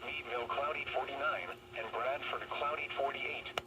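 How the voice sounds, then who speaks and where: A man's voice: mid-range voice, fairly even intonation, moderate pace. Very noisy radio weather bulletin, male voice. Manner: clipped, impersonal phrase-by-phrase reading. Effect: heard through a weather radio speaker. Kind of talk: scripted weather bulletin read out. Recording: very noisy small radio speaker playback.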